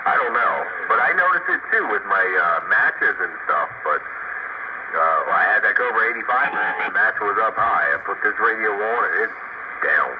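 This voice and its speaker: A man's voice: on the low side, very animated, moderate pace, highs cut off. A man chatting in a rambling, easygoing way as an operator on two-way radio. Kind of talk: casual radio chat. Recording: noisy, band-limited two-way radio transmission. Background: radio static.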